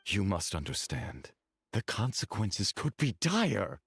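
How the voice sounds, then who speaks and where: Solemn, low-voiced devout guardian. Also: male voice, huge pitch swings, creaky, husky, heavily acted. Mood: stern. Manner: formal, measured speech. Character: solemn, devout male guardian, formal and suspicious. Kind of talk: video game dialogue acting.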